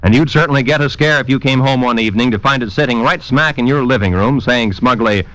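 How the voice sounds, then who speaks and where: A man's voice: medium pitch, fast, a bit bright, dry recording, poor audio. A man announcing in polished, formal 1940s radio style, reading a script.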